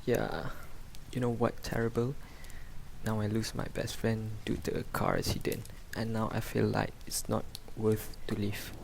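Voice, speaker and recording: A young man playing a despondent cancer patient in an amateur radio drama, halting and resigned. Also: lowish voice, fairly even intonation, gravelly, a little hiss or hum, poor audio. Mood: bitter, hopeless.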